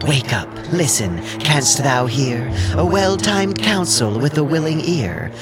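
Man voicing a coaxing goddess, raised pitch. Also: big pitch swings, unhurried, loud music behind the voice. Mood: coaxing, urgent. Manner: rhyming, coaxing, declamatory. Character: persuasive disguised goddess urging a warrior to kill. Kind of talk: verse audio drama acting.

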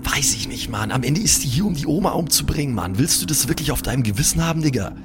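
Man as young male superhero, lower-pitched, awkward, excitable, sweary slang, improvised audio drama, music behind. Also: fast talker, natural voice. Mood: urgent, agitated. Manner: slangy, cursing, stammering repeats.

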